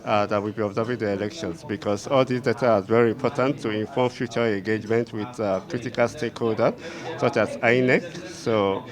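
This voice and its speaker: A man's voice: on the low side, fairly even intonation, brisk. A man, a data clerk methodically explaining in an interview. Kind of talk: interview answer. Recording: crowd chatter behind.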